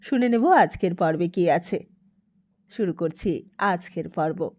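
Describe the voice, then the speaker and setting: A woman narrating a literary story as an audiobook, in an expressive, emphatic, dramatic way. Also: fairly low pitch, slow pace.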